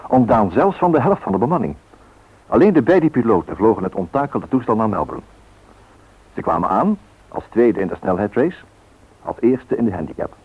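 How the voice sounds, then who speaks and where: A man narrating a scripted radio documentary drama in a measured, literary storytelling manner. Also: medium pitch, breathy edge. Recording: narrow phone-like radio sound.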